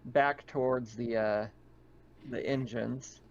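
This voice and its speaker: A man's voice: mid-range voice, steady tone, slow, highs cut off, slight background noise. A man acting as game master, casually narrating a tabletop roleplaying session.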